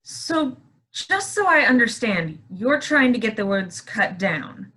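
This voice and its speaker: A bright, sharp, high voice: a woman acting a touchy, shaken character in a stage drama. Her pitch is very lively. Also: slow, exaggerated voice. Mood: indignant. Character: shaken, touchy woman insisting she is over it. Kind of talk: staged play reading.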